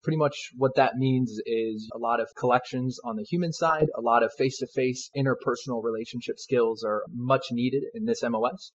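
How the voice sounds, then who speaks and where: A man's voice: medium pitch, steady tone, fairly quick, few pauses, breathy edge. A man in his twenties, a soldier guest answering interview questions in an earnest, polite way. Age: 20s. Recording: dull lo-fi sound.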